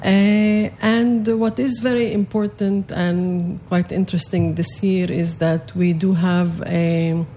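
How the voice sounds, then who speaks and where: A young adult woman from Palestine, a native Arabic speaker, answering interview questions about a festival she organizes, with many pauses and fillers. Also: on the low side, steady tone, warm tone, a little hiss or hum. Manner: hesitant, many fillers and restarts.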